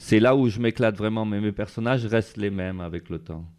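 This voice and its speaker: A man's voice: lowish voice, brisk, darkish tone, smooth. A man being interviewed as a street artist, talking casually and rambling with many fillers. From France.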